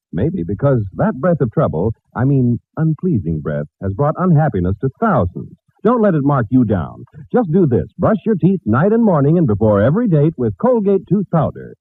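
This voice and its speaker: A man's voice: a little high, breathy edge, no echo. A man announcing a scripted 1940s radio advertisement with a polished, emphatic sales delivery. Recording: muffled narrowband old radio broadcast.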